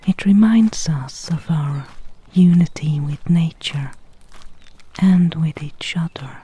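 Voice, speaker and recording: Woman performing as female elven ranger, fantasy ASMR, breathy whisper, lowish, very slow, thoughtful, gentle, intimate. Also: full character voice. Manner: slow, hushed, intimate, unhurried.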